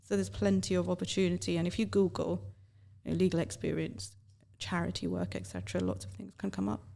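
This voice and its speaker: A woman from Yorkshire, a legal professional who is a guest on a radio show, explaining things in an articulate, measured way. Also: on the low side, animated, vocal fry, mellow. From Yorkshire, England. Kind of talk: casual radio interview.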